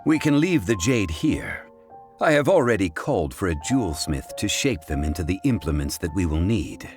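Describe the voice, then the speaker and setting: A man voicing a composed, learned gentleman in a video game, speaking in a formal, measured, lecturing manner. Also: sits low, big pitch swings, faint music behind. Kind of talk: video game cutscene voice acting.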